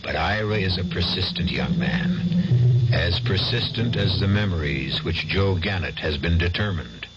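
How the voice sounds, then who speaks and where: A man hosting a radio drama, giving scripted narration in a deliberate storytelling manner. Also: low voice, expressive, over loud music. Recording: noisy muffled old radio broadcast.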